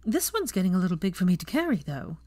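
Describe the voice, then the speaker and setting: A woman's voice: fairly low pitch, sing-song, fast talker, darkish tone, dry recording, studio quality. A woman voicing a self-assured young witch who is cheerful, earnest and reassuring, in a story reading.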